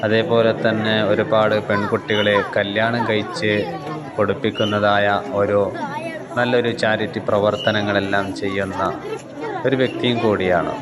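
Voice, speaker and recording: Low-voiced man narrating over crowd noise. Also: flat delivery, normal speed. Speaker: video narrator. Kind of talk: explanatory voice-over narration addressed to viewers. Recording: loud crowd and outdoor noise behind.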